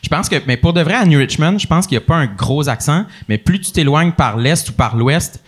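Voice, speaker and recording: A man's voice: on the high side, lively intonation, brisk, husky. A man from Gaspésie with a Québécois French accent, a podcast guest who laughs often and pokes fun at himself. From Gaspésie, Quebec. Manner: laughs often, modest and self-mocking. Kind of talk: casual podcast chat.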